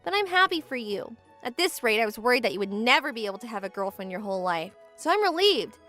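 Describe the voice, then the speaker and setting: Casting: woman as tsundere teenage childhood friend, high voice, expressive, huffy, manga dub romcom. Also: fast talker, faint music behind. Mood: defensive huffy. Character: Yuri, tsundere teenage girl, jealous childhood friend in denial.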